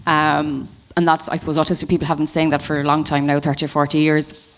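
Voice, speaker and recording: A woman in her forties, a panel guest, speaking in a thoughtful, measured way with frequent pauses and ums. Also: low-pitched, flat delivery. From Ireland. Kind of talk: panel discussion.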